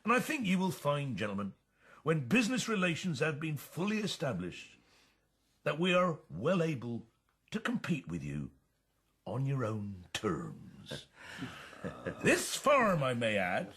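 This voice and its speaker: An actor playing a pompous male pig leader in a radio drama, husky-voiced and given to formal, self-important speechmaking. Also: a little high, wildly swooping pitch, unhurried, fairly bright tone, full character voice. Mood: pompous, smooth.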